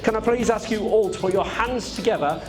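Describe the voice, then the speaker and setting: A man's voice: high voice, fairly quick, music in the background. A man compering an outdoor car show award, coaxing and rallying the crowd, with a chesty cough. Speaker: car show presenter and video host.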